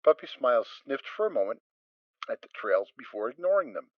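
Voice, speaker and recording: A man narrating a dramatic reading of a story, steady and expressive. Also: medium pitch, a little fast, no echo, lo-fi sound. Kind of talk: dramatic reading of fan fiction.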